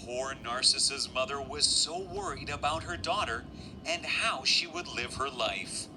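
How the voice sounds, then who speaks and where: A man's voice: medium pitch, unhurried, over music, low-quality recording. A man narrating a children's fairy tale as a scripted storyteller.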